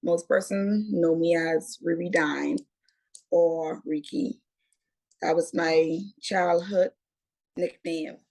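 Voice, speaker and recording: A Bahamian woman in her twenties, a guest telling her story in a casual, unhurried interview. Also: lowish voice, steady tone, creaky, a little mellow, low-quality recording. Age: 20s. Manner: unhurried, repeats "what had happened was".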